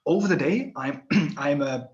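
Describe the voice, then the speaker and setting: A man's voice: fairly high pitch, a little slow, darkish tone, muffled recording. A man from Germany, speaking English as a while hosting a live online tour over a video call, chatty and friendly with many fillers. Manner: friendly, chatty, slightly non-native phrasing with fillers. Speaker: tour guide and host.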